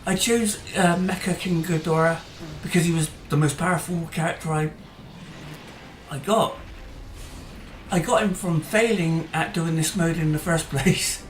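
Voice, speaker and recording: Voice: mid-range voice, fairly even intonation, unhurried, a little hiss or hum. Rambling casual gamer in a roomy echo. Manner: chatty and rambling, with hesitant fillers and restarts. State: voice tiring. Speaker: gaming channel host. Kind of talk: casual gameplay commentary. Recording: roomy echo, faint music behind.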